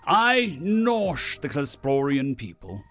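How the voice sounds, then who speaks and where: A man's voice: fairly high pitch, sing-song, slow, fairly bright tone, faint music behind, pitched above the performer's own voice, full character voice. A man voicing a proud alien drinking champion, narrating a story in a theatrical, boastful, melodramatic way. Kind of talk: dramatic first-person story narration.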